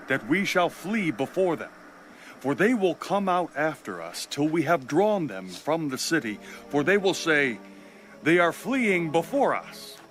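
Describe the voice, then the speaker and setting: An actor playing a commanding ancient Israelite military leader in a dramatized audio Bible, solemn and declamatory. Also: male voice, on the high side, a bit bright.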